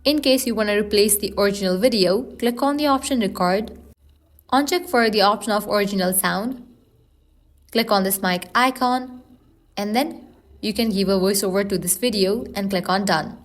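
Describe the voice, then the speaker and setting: A woman's voice: mid-range voice, normal speed. A woman narrating a scripted how-to guide, giving instructions step by step. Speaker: tutorial narrator.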